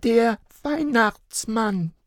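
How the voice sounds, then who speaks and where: A man reading a storybook, voicing a stubborn, earnest little girl in a high childlike voice. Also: lively intonation, extremely slow, no echo, studio quality, heavily acted.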